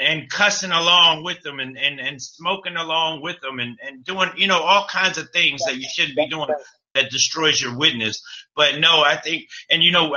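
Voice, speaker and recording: A man with a very bright, sharp tone talks earnestly, tripping over repeated words as he builds his point. His voice sits a bit high, and the audio is muffled and lo-fi. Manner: stammering restarts, earnest. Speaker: co-host, radio show host. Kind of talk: religious talk.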